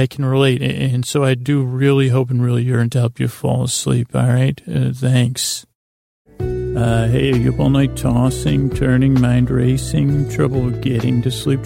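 A man with a creaky, gravelly voice, rambling gently and hesitantly as the friendly, goofy host of a bedtime podcast. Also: medium pitch. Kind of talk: rambling, meandering bedtime monologue.

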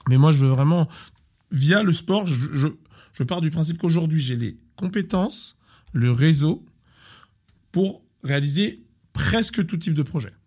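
A man in his thirties, a sports coach, talking casually in an interview, animated and often repeating and restarting words. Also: fairly high pitch, a little slow, husky. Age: 30s.

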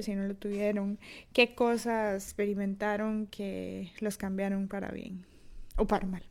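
A woman's voice: mid-range voice, a little mellow. A young adult woman with a Costa Rican accent, hosting her own podcast in a reflective, self-deprecating solo talk with many fillers and restarts. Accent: Costa Rican Spanish. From Costa Rica. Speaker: podcast host. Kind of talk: casual solo monologue.

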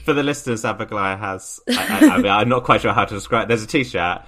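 Deep halting man, lively pitch. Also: over a noisy crowd. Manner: halting, stumbling, amused. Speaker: podcast co-host. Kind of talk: casual podcast chat.

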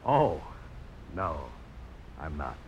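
Plain-spoken young frontiersman, animated. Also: a bass voice, drawn-out words, highs cut off, heavily acted. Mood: bashful. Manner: straightforward, firm, courteous. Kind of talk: 1950s radio drama acting. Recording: hissy old radio broadcast.

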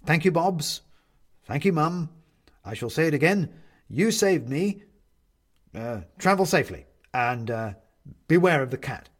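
A man voicing a cheerful, absent-minded storybook gentleman, speaking in a grandiloquent, excitable and sometimes faltering way. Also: fairly high pitch, unhurried, long pauses, pitched above the performer's own voice. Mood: earnest, grateful. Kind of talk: storybook character reading.

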